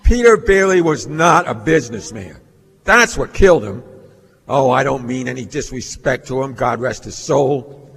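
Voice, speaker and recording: A man's voice: mid-range voice, expressive, a bit bright, husky. A man playing a cold, contemptuous old businessman villain in a radio play, speaking in a haughty, scornful way. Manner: haughty, scornful, deliberate speech. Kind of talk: staged old-time radio play acting. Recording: reverberant stage hall recording.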